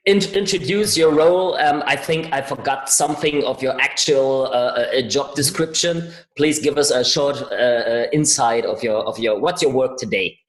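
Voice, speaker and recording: A man's voice: medium pitch, normal speed, few pauses. German-accented man, podcast host, hesitant, restarts often, echoey room. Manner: hesitant, many fillers and restarts in English. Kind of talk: interview hosting.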